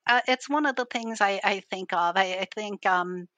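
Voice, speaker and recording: A woman's voice: medium pitch, normal speed, fairly bright tone, no echo, lo-fi sound. A woman from Ireland, a university IT chief, explaining her work at length in a casual interview, with frequent restarts.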